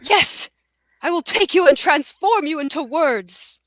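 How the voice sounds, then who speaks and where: A woman reading the part of a self-absorbed male poet, wooing grandly with dramatic pauses in a play table read. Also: high-pitched, lively intonation, fairly bright tone, no room sound. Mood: inspired, grandiose.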